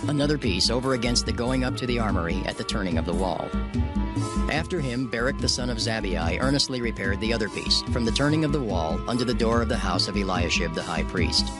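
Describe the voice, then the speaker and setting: A man narrating a dramatized scripture reading in a measured, solemn cadence, with loud music under his voice. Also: lowish voice, expressive.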